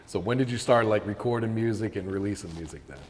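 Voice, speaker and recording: An interviewer asking relaxed, casual questions and giving brief affirmations. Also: sits low, fast. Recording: long reverb, faint background noise.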